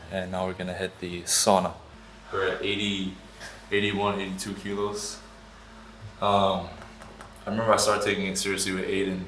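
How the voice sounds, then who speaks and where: A young man of twenty with a low voice talks to camera in a relaxed, unpolished way. He swears freely and calls everyone bro. A faint hiss sits under the recording. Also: rough recording. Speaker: vlogger and business owner. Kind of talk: casual vlog chat.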